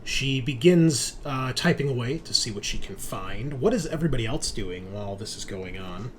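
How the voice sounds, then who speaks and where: A man's voice: medium pitch, expressive, normal speed. A man narrating a tabletop roleplaying game as the game master. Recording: noticeable background noise.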